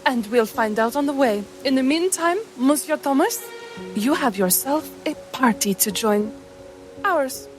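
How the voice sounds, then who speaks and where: A woman's voice: sits high, lively intonation, a little slow, faint music behind. A woman voicing an eager, playful lady companion in a tabletop game, with theatrical, genteel and formal phrasing. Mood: delighted, declaiming.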